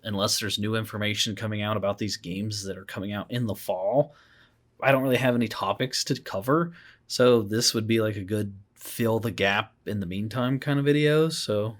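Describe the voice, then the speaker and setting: Male podcast host, fairly low pitch, laid-back, noncommittal, casual conversational delivery. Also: normal speed. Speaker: podcast host and video creator.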